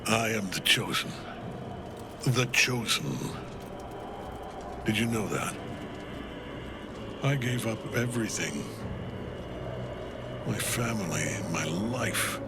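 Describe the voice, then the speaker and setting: An actor playing a grief-worn, imperious villain general in a video game, speaking in a grandiose, commanding and theatrical way. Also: male voice, lowish voice, lively intonation, slow, pauses often, over loud music. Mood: fervent.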